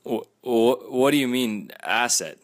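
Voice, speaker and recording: A man's voice: mid-range voice, lively intonation, measured pace, lightly acted. A young man playing a polite young man who stammers when caught off guard, in a student radio play. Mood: flustered. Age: young adult.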